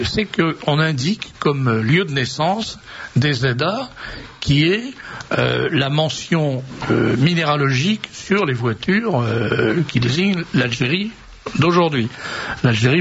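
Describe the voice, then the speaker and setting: A man's voice: medium pitch, creaky. A man hosting a talk radio show, chatting wryly and drifting off on asides. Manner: wry, digressive, many fillers. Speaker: radio host. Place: radio studio. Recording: dull lo-fi radio recording with faint hiss.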